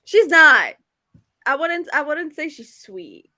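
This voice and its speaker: A woman co-hosting a casual book livestream, animated, repeating phrases for emphasis and addressing viewers as y'all. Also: sits high, slow pace, no echo, clean studio sound. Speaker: book discussion co-host. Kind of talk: casual chat. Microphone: livestream video call.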